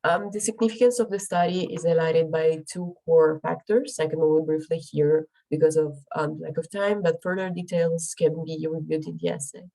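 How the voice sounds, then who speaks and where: A woman's voice: low voice, steady tone, moderate pace, vocal fry, hardly any pauses, warm tone, low-quality recording. A young woman presenting her research, her voice affected by a cold, speaking carefully with frequent ums and restarts. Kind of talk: academic presentation.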